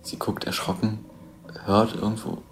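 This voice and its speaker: A woman's voice: rumbling low, flat delivery, normal speed, gravelly, in a whisper. A woman playing an attentive observer who describes scenes in short statements in an audio drama. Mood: hushed, tense.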